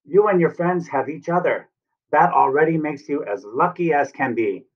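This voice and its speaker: Man putting on a high, crafty little leprechaun. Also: normal speed, natural voice. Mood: cheerful. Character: small crafty rhyming male leprechaun, cheerful and teasing. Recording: distant, muffled webcam sound.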